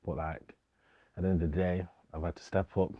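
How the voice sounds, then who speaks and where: Deep-voiced young man. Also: fairly even intonation, brisk, breathy edge, no room sound, muffled recording. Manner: informal, slangy, repeats himself. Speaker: DJ, interview guest. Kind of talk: casual documentary interview.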